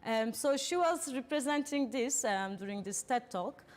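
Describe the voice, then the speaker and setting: A woman's voice: fairly high pitch, expressive, normal speed. A woman from Turkey whose first language is Turkish, hosting a panel and earnestly presenting statistics in English with frequent fillers and restarts. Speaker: panel host.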